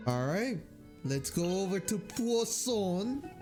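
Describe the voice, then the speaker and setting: A young man giving casual livestream game commentary in a halting, rambling way. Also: high-pitched, very animated. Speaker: game streamer. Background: game music.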